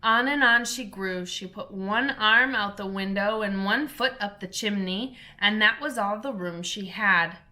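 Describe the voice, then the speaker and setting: Teacher lady reading a kids' chapter book out loud, bright clear voice. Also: medium pitch, measured pace, few pauses. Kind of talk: children's storybook read-aloud.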